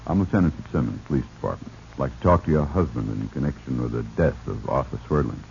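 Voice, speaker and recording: Very deep, gravelly police lieutenant. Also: male voice, darkish tone. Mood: official. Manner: brisk, official, clipped. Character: blunt, guarded male police lieutenant. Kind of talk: 1940s radio drama acting. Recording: noisy, dull 1940s radio recording.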